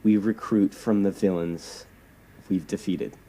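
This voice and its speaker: An actor playing a persuasive, self-righteous young superhero leader in an audio drama, speaking earnestly and insistently and repeating words for emphasis. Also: male voice, low voice, steady tone, measured pace, mellow, rough recording. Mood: eager, scheming.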